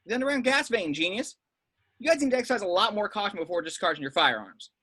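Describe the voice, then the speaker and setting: A man's voice: high-pitched, lively intonation, fast, bright, forceful tone, heavily acted. A man acting a brusque, sardonic, seasoned secret agent in an amateur script read, clipped and authoritative. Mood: dry, sardonic. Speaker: amateur actor at a table read. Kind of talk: animated script read-through.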